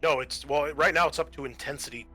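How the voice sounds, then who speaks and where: A man's voice: fairly high pitch, fast, sharp tone, faint music behind. A man running a tabletop role-playing game as game master, talking casually and swearing freely.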